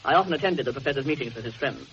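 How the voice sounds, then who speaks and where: An actor playing a reserved, dutiful secretary in a radio drama, answering in careful, formal, exact sentences. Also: male voice, mid-range voice, animated, rapid-fire, close to an everyday voice. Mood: reserved, precise. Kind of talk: full-cast radio mystery drama acting. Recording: muffled noisy old radio recording.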